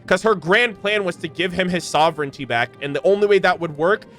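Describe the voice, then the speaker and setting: A man's voice: sits high, brisk, a bit bright. A man giving casual, enthusiastic reaction commentary in informal slang, mixed with reading game lore aloud. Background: background music.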